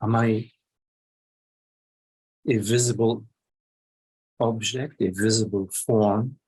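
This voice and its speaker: Male meditation teacher, very husky voice, slow delivery, short phrases, long pauses, guided meditation. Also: fairly low pitch, fairly even intonation, a little mellow, rough recording.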